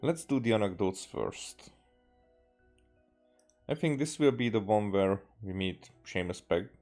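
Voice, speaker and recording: A man from Hungary giving casual game commentary, halting and repeating words as he thinks aloud. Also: lowish voice, lively intonation, darkish tone, soft background music. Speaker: game streamer.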